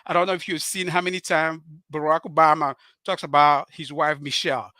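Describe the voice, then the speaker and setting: A middle-aged man from Cameroon with a Cameroonian French accent, a guest on a live show talking earnestly and haltingly, with many fillers and restarts. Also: on the high side, flat delivery, pushed and bright, no echo, clean studio sound. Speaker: author and guest.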